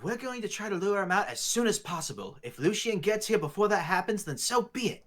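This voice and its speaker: A man puts on an eager, peculiar little creature for tabletop roleplay. The voice sits fairly high and swings about in pitch, with a theatrical funny accent. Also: sing-song, brisk, a bit bright. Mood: brisk, commanding. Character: eager odd little creature posing as sailor boy.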